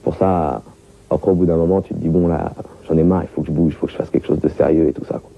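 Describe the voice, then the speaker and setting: A man's voice: extremely low pitch, steady tone, fast talker, creaky, noisy background. A man from Montreuil with a Paris-suburb accent, talking loosely in an interview, full of slang and fillers, on a tinny lo-fi recording with music behind. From Montreuil, France. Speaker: reggae artist interviewee. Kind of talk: casual interview talk.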